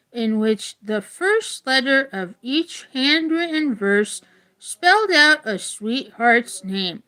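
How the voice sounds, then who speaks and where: A woman's voice: on the high side, pushed and bright, rough recording. A woman reading a children's nonfiction book aloud like an educator, adding informal, casual asides.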